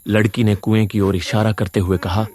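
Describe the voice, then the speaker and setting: A man's voice: fairly low pitch, level tone, moderate pace, a little mellow. A man reading a scripted horror story as a dramatic narrator.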